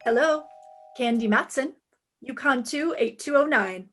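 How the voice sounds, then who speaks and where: A woman acts out a self-assured female private eye from a fifties radio mystery. Her voice sits fairly high, and she tosses off her lines with breezy, flirtatious wit. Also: measured pace, fairly bright tone, faint music behind. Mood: wry narrating. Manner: wisecracking, breezy. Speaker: lead actress and first-person narrator. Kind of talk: 1950s radio detective play acting.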